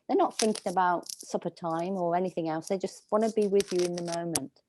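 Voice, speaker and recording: A woman dog trainer giving slow, soothing instructions over a video call, with a calming voice. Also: lowish voice, slight background noise, low-quality recording. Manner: soft, slow, soothing coaching. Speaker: dog trainer leading a workshop.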